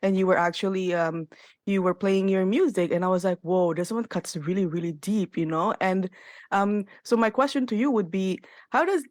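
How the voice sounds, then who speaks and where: A woman co-hosting a radio show, interviewing a guest in a casual, halting, informal way. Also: mid-range voice, darkish tone, no room sound, clean studio sound.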